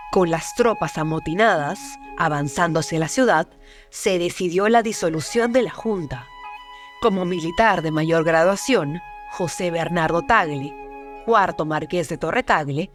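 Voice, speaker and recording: A narrator delivering scripted historical documentary narration. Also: mid-range voice.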